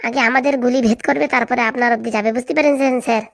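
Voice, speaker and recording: A woman's voice: a little high, steady tone, rapid-fire, creaky. A woman voicing an eager, fawning young male henchman in a comedy cartoon, chattering boastfully with a rural Bengali accent.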